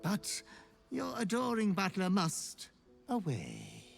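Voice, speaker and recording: An actor voicing a fawning, gleefully sinister fiend butler in a video game, with drawn-out, gushing, theatrical delivery. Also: male voice, sits high, huge pitch swings, vocal fry, loud music behind the voice, full character voice. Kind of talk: video game character acting.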